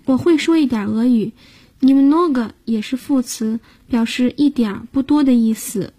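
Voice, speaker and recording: A woman's voice: fairly high pitch, unhurried, warm tone, husky. A woman hosting a radio language lesson, patiently explaining grammar and guiding listeners step by step. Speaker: language teacher and host.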